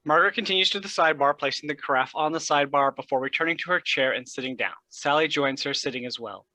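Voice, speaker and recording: A man's voice: a little high, fast, sharp tone, no echo, poor audio. A man reading stage directions for a play as scripted narration.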